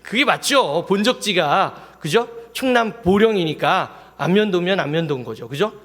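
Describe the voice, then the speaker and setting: A man preaching a Sunday sermon as pastor, in a lively manner, often asking the congregation questions. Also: high voice, normal speed. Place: church sanctuary. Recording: long hall reverb in a church.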